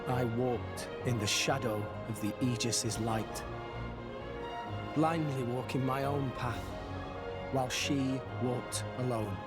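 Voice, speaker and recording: A man's voice: medium pitch, fairly even intonation, slow, lightly acted. An actor playing an earnest, determined teenage boy hero in a video game cutscene. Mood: solemn. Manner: heartfelt, resolute. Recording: loud background music.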